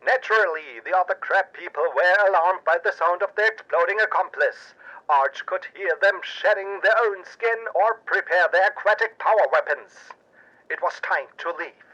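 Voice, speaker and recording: High, bright, portentous radio news anchor played by a man. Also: normal speed, lo-fi sound. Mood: urgent. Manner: dramatic, portentous delivery. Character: overwrought male radio news anchor, earnest paranoid patriot. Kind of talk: pulp radio drama news report.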